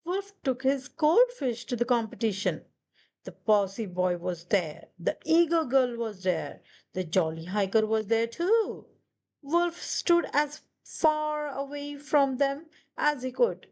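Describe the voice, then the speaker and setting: A woman's voice: a little high, animated, slow, darkish tone. A woman reading a children's picture book aloud as a storyteller. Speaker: storybook reader. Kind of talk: children's read-aloud narration.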